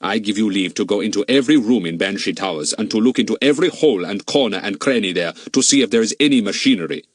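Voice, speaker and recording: Storybook role: male reader as burly Austrian owner, very deep and husky, solemn, lamenting, quick to take offence. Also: very animated, no echo, clean studio sound, heavily acted. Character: big burly Austrian owner, solemn, mournful, easily indignant.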